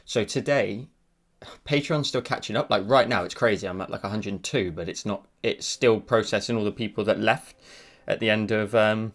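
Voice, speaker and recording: A man's voice: on the low side, moderate pace. A man chatting casually with viewers while he teaches a live digital drawing session. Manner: chatty, reads and answers viewer messages. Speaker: digital art streamer. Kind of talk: casual live-stream tutorial chat. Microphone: headphones on, desk mic. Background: faint background music.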